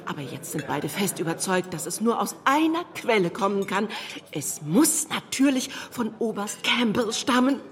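An actress playing a gossipy, effusive society hostess who talks volubly and gushingly in a German radio drama. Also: female voice, mid-range voice, very animated, normal speed, big-room sound. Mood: effusive, sympathetic.

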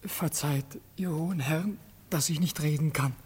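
A male actor playing a sly, servile servant feigning loyalty in a theatrical German radio play, husky in a reverberant hall. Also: a little high, moderate pace, a little mellow. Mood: feigned grief.

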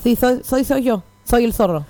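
A woman's voice: a little high, moderate pace, mellow, close to an everyday voice. A woman playing a chivalrous, boastful masked swordsman in a comic radio melodrama. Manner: pompous, declamatory.

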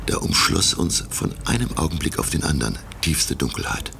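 An actor playing a learned, reflective male professor who narrates a voyage in a radio drama, with measured, literary phrasing. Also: rumbling low, lively intonation, vocal fry, background noise, full character voice. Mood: narrating, awed. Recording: loud music under the voice.

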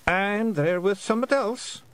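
A man's voice: high voice, unhurried, a bit bright, dry recording, pitched above the performer's own voice. A man voicing a tiny, rowdy but nervous warrior with a broad Scottish accent in an audiobook. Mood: proud. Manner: broad dialect, deferential yet boastful. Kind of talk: audiobook character voice.

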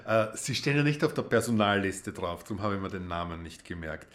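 An actor playing a formal, probing male police inspector in a radio drama, speaking in an official, measured way. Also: deep voice, animated, a bit bright. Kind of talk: naturalistic radio drama acting.

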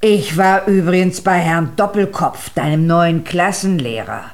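Slow-talking, worried old grandmother. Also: lowish voice. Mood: concerned. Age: older. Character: fussing, doting old giant grandmother, easily startled. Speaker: radio drama actress. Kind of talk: children's radio play acting.

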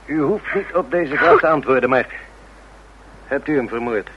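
An actor playing a probing, businesslike police inspector in a radio play. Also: male voice, medium pitch, moderate pace, natural voice. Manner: questioning, businesslike. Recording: dull, noisy old radio recording.

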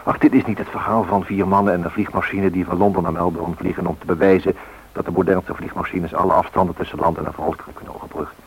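Deep husky solemn male narrator. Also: little pitch movement, quick pace. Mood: rising, impassioned. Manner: solemn, dramatic, swelling emphasis. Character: solemn poetic male storyteller of flight myths. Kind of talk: poetic radio drama narration. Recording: muffled old radio recording.

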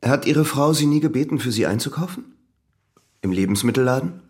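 An actor playing a young examining magistrate, polite, measured and persistently probing in an audio drama interrogation. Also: male voice, mid-range voice, animated, a little mellow, natural voice. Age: young adult.

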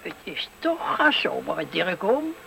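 A man's voice: sits high, wildly swooping pitch, measured pace, vocal fry, sharp tone, full character voice. An actor playing a cheeky, chuckling old man who gossips on a bench in a radio play. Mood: amused, teasing. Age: older. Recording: noisy 1970s radio play recording.

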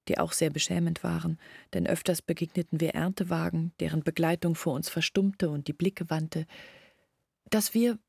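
An actress playing a village woman in a radio drama, recounting her outcast family's shame in a measured, reflective way. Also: lowish voice, vocal fry, a little mellow, slightly rough, dry recording, clean studio sound. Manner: measured, reflective, articulate storytelling. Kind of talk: literary radio drama monologue.

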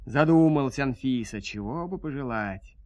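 A man's voice: mid-range voice, lively intonation, normal speed, dry recording, muffled recording. A man narrating a folk tale as a scripted audio story, in a theatrical, folksy and dramatic manner.

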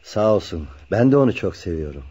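An actor playing a kind, respectful young friend in a radio play, courteous and reassuring. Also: male voice, low-pitched, very animated, a little fast, warm tone, husky, heavily acted. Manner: courteous, hospitable, soothing. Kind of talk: Turkish radio theatre acting. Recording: dull lo-fi radio drama recording.

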